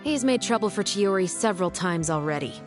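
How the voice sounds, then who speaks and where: A woman's voice: medium pitch, expressive, a little fast, over music, close to an everyday voice. An actress playing a stern young woman officer who speaks in a level, dryly teasing way.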